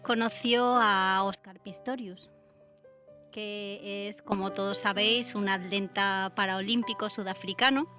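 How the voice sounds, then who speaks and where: A woman's voice: medium pitch, steady tone, a little slow. A woman co-presents a radio programme, setting out background facts. She, with faint music underneath. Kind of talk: factual background narration.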